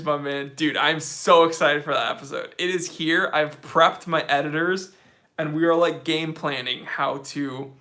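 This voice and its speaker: A man hosting a casual live-stream Q&A, reading chat questions aloud and answering in a relaxed, slangy way. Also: on the high side. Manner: laid-back, slangy, reads chat aloud.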